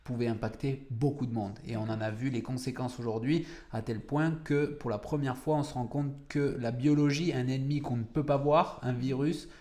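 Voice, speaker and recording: A man's voice: medium pitch, steady tone, normal speed, few pauses, darkish tone. Brief: young French researcher on a podcast, mid-range voice, mixes English into his French, sometimes searches for a word. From France. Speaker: cancer researcher, guest. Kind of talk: podcast interview.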